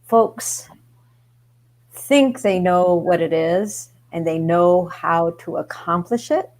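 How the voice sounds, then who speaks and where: A woman city council member in an online book discussion, speaking in a measured way and repeating words as she thinks. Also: on the low side, a little mellow. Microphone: video call.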